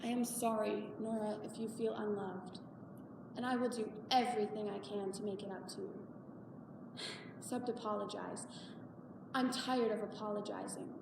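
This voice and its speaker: A woman's voice: on the high side, normal speed, lightly acted. Woman acting a weary, defiant widowed mother, distant and echoey. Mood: weary, resolute. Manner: firm, emphatic, defiant. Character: weary, embittered widowed mother confronting her daughter. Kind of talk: dramatic stage monologue. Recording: echoey hall, far from mic, noisy.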